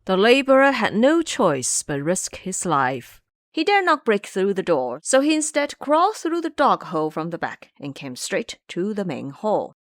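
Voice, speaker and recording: A woman narrating a scripted audio drama in an expressive, theatrical storytelling manner. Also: mid-range voice, unhurried, no echo, studio quality.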